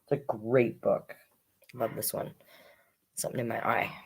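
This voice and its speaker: A woman's voice: a bass voice, steady tone, a little slow, vocal fry, slightly rough, rough recording. A woman hosting a children's storytime, reading picture books expressively with chatty asides to young listeners.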